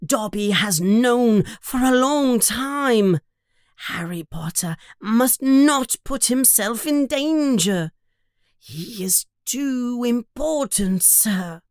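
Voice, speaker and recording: A small, frightened male elf servant, played by a woman reading a storybook pleads in a very high, slow voice. He is devoted and dramatic, talking about himself in the third person. The sound is close and dry. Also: animated, darkish tone, no echo. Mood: urgent warning.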